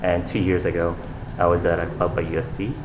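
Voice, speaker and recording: A man's voice: low-pitched, monotone, brisk, roomy sound, music in the background. A young man from Saudi Arabia, answering interview questions in a casual, earnest way, with fillers and restarts. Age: young adult. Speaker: interview guest, fashion designer.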